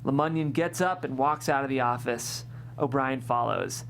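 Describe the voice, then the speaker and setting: A woman's voice: a bass voice, moderate pace, a little hiss or hum. A woman reading a screenplay's scene directions as scripted narration at a table read. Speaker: stage-direction reader.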